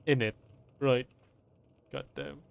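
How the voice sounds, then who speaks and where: A man's voice: medium pitch, very animated, slow, gravelly. A man doing casual live gameplay commentary as a streamer, rambling, stammering and swearing freely. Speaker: game streamer.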